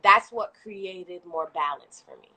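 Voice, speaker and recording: A woman with a Haitian accent, a guest entrepreneur talking casually and at length on a live video stream, animated and quick to laugh. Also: on the low side, poor audio. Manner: talkative, animated, laughs often. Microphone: video call.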